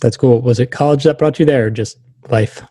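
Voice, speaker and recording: A man's voice: medium pitch, brisk, warm tone, husky, dry recording, studio quality. A man hosting a podcast, asking questions in a casual, chatty interview style.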